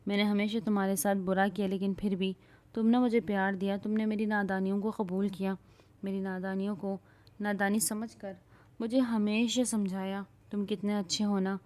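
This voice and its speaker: A woman's voice: medium pitch, little pitch movement, a little mellow, natural voice. Woman doing a tearful pleading young wife.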